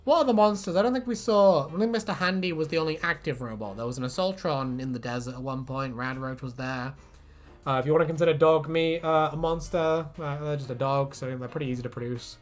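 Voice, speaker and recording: A man's voice: fairly high pitch, fast talker. A man hosting a casual fan discussion, chatting in a rambling, sweary way. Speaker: video host.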